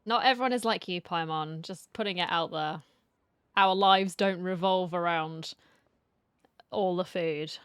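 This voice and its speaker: A woman's voice: lowish voice, a little slow, a bit bright, clear, resonant tone, no echo. A woman game streamer giving casual reactions, with wry, teasing asides, close on the mic. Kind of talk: casual live reaction commentary. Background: game music.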